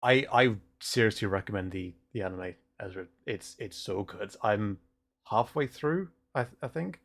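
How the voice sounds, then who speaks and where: A man hosting a casual livestream chat, speaking haltingly with repeated words and frequent chuckles. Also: fairly low pitch, fairly bright tone. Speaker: livestream host.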